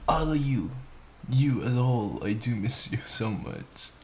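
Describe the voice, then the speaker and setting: A young man playing a tender, doting boyfriend in an intimate comfort roleplay, speaking softly and soothingly with repeated reassurances. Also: medium pitch, animated, a little slow, slight background noise, lightly acted. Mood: affectionate longing. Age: young adult. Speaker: boyfriend roleplay audio performer. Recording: roomy echo, faint background ambience. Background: rain sounds.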